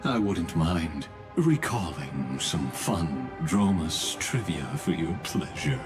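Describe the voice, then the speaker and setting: Very deep, slow, calculating machine villain. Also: male voice, expressive, exaggerated voice. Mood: calm, smug. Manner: formal, measured, deliberate. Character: cold, calculating male machine-bodied villain, formal and smug. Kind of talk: scripted video game dialogue. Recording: loud background music.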